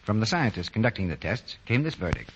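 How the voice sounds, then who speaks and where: A man's voice: on the low side, sing-song, fast talker, highs cut off. An American man reading scripted commercials and credits as a 1940s radio announcer. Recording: noisy, band-limited 1940 radio broadcast.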